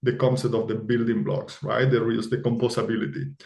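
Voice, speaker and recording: A man from Argentina, a podcast guest telling personal stories casually and haltingly, repeating words as he forms his thoughts. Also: mid-range voice, little pitch movement, vocal fry, darkish tone. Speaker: podcast guest, former software engineer. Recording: roomy, echoey voice recording.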